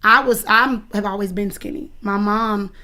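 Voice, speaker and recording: A woman's voice: mid-range voice, fairly even intonation, moderate pace, bright, forceful tone. A woman in her thirties, a dance coach, answering interview questions in an earnest, emphatic and protective way. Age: 30s.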